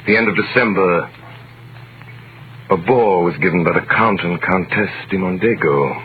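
An actor narrating as a storyteller in a scripted 1930s radio drama. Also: male voice, low voice, normal speed, lightly acted. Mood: measured storytelling. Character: male storyteller narrating a classic adventure tale. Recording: muffled vintage radio recording, music behind.

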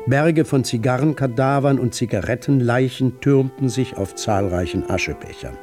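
A man's voice: mid-range voice, moderate pace, close to an everyday voice. Male, in role: literary narrator for radio play, florid and sardonic, middle register, music bed. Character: sardonic male narrator recounting his political past.

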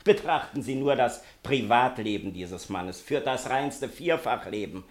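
An actor playing an indignant, pompous literary gentleman in a radio drama, accusing with sneering, grandiloquent phrasing. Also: mid-range voice, expressive, measured pace, natural voice. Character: indignant, pompous male man of letters accusing a rival. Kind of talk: theatrical radio drama acting.

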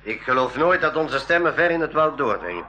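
An actor playing a practical, helpful expedition companion who explains patiently, in a school radio play. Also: male voice, fairly high pitch, sing-song, fairly quick, pushed and bright. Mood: matter-of-fact. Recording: radio play with audible ambient noise.

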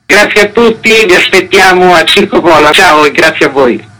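A man's voice: sits high, normal speed, bright, forceful tone, faint music behind, rough recording. Man explaining a programme in an interview. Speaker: festival co-artistic director, interviewee.

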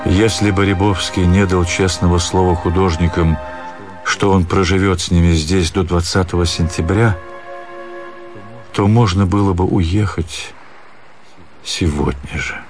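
Deep guy reading a classic story as radio narrator, measured and expressive, music behind him. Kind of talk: scripted literary narration, radio drama.

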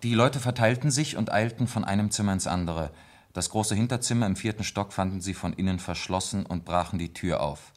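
Man acting a police lieutenant, low voice, formal, careful, dutiful, reading a report, radio drama. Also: brisk, close to an everyday voice. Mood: formal, sober. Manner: formal, careful, hesitant between items. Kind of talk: German radio play acting.

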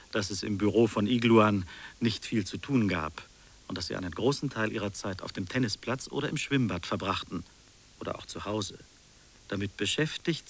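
An actor playing a mocking, superior interrogator in a literary radio drama, theatrical, moving between soft irony and sharp emphasis. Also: male voice, fairly low pitch. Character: sardonic, accusing male interrogator, mocking and superior.

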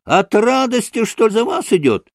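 A man voicing an excitable, childlike young gentleman in a storybook, exclaiming eagerly and argumentatively in a ringing voice. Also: sits high, unhurried, vocal fry, dry recording, studio quality, pitched above the performer's own voice, heavily acted. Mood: delighted, exclaiming.

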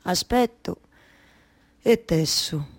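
A woman's voice: on the low side, expressive, extremely slow, full character voice. An actress playing a melancholy, wry waiting woman in a theatrical monologue, with a Roman Italian accent and repetitive, colloquial storytelling. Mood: wistful, intimate. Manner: incantatory repetition, shifting from declaiming to intimate colloquial storytelling.

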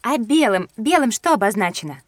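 Pert, high-pitched schoolgirl. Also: animated, fairly quick, no room sound. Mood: curious. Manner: teasing, inquisitive, childlike. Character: pert, teasing young schoolgirl. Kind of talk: scripted educational audio drama for children.

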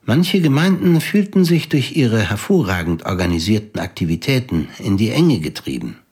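Guy narrating a radio play, kinda low husky voice, calm and matter-of-fact. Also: moderate pace. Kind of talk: scripted audio drama narration.

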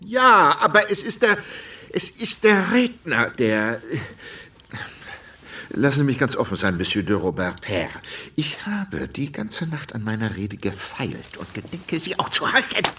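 An actor playing a vain, bombastic, quick-tempered count and politician in a radio drama, condescending and theatrical. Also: male voice, medium pitch, wildly swooping pitch, pushed and bright, distant mic, heavily acted. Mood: insistent. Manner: theatrical, pompous, swinging from smooth to blustering. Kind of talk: German radio drama acting.

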